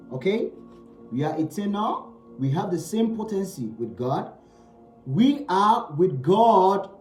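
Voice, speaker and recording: A man's voice: high-pitched, a little mellow, reverberant. A man giving a spiritual teaching talk. He speaks emphatically, repeating key phrases and asking rhetorical questions.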